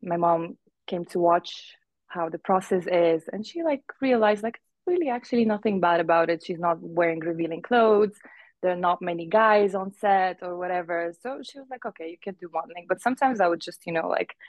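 A woman's voice: lowish voice, a little fast, no room sound. A young woman from Uzbekistan, a fashion model guest, telling stories in a casual interview and laughing often as she talks. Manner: chatty storyteller who laughs often and acts out quoted voices.

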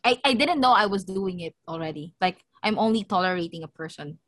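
A woman's voice: fairly low pitch, animated, brisk, fairly bright tone, no room sound. A woman co-hosting a casual podcast, speaking frankly, laughing often and restarting her sentences. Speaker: podcast co-host. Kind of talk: casual chat. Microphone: video call.

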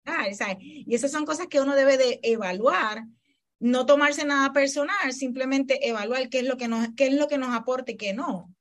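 A woman's voice: sits high, normal speed. A woman with a Puerto Rican accent, hosting a casual podcast chat in a reflective, agreeing way. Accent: Puerto Rican Spanish. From Puerto Rico.

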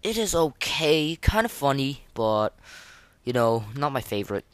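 A podcast host rates game material in a casual, slangy style. The delivery is slow and halting, with repeated words and plenty of enthusiasm. The sound is dry, with very little room in it. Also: mid-range voice, very animated, no echo. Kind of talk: casual gaming commentary, rating voice lines.

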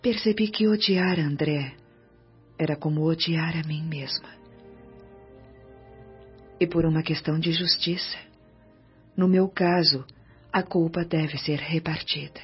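Suffering brazilian spirit lady, humble n whispery, slow radio play voice, kinda muffled. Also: deep voice, exaggerated voice. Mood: pained, remorseful. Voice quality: breathy, often whispering. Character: suffering, disfigured woman spirit, humble and grateful. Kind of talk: Brazilian radio drama acting. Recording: dull lo-fi radio drama recording. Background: soft music.

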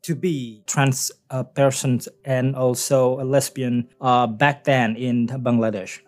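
A man's voice: mid-range voice, little pitch movement, slow, a little mellow. A man from Indonesia interviewing a guest in a casual chat, friendly and encouraging. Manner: friendly, encouraging, laughs often.

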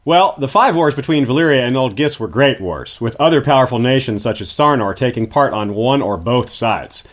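Man, medium pitch, lively swooping intonation, guest historian, scripted explanatory narration, articulate and informative. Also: normal speed.

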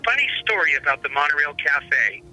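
An actor playing an eager, rambling trivia storyteller in an audio drama, launching into anecdotes. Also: male voice, on the high side, big pitch swings, brisk, sharp tone, soft background music, heavily acted, poor audio.

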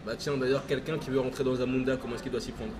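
A man's voice: mid-range voice, flat delivery, very fast, background noise. A man hosting an interview, asking questions and encouraging his guest with short agreeing replies. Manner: encouraging, frequent short backchannels. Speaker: interviewer host. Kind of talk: casual interview conversation.

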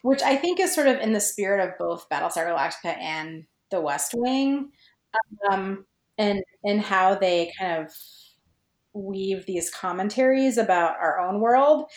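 A woman's voice: mid-range voice, animated, unhurried. Hesitant woman guest in an echoey room. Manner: hesitant, searching for words, many "like"s. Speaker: podcast guest, fan fiction writer. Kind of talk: casual, reflective chat about own writing. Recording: roomy, echoey sound.

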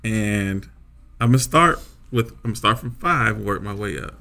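Deep-voiced man, bright and casual. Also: a little slow, vocal fry. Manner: relaxed, joking, swears freely. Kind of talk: casual chat.

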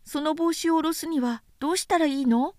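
A small storybook boy prince, as a woman narrator puts him on, speaks in a high voice. He is innocent and curious, with a persistent questioning way of talking. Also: fairly quick, darkish tone, no room sound, clean studio sound, close to an everyday voice.

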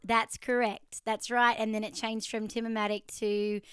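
A woman hosts a relaxed chat and recalls old times with lively, talkative energy. Her voice is smooth and resonant, recorded close and dry with no room sound. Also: on the high side, normal speed, dry recording. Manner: chatty, animated storytelling. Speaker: host. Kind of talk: casual interview chat.

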